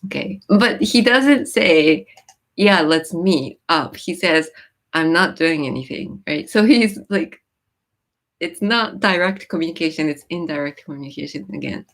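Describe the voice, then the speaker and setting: Woman, Japanese mother tongue, fairly low voice, casual livestream host, chatty with lots of fillers. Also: lively intonation, measured pace, fairly bright tone. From born in Japan, raised in the US. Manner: reads viewer comments and explains lyrics, with many fillers and restarts.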